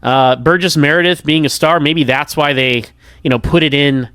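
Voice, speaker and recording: A man's voice: on the high side, steady tone, moderate pace, a bit bright, smooth, dry recording, clean studio sound. An American man giving casual, rambling review commentary in a hesitant, conversational way, often interrupting himself. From United States. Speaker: podcast listener giving episode feedback.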